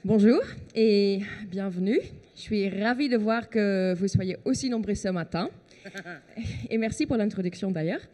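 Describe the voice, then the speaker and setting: A woman's voice: mid-range voice, unhurried, warm tone. A woman from the Netherlands with a Dutch accent, giving a talk on stage at a trade fair and explaining things step by step. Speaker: conference speaker. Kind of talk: conference talk.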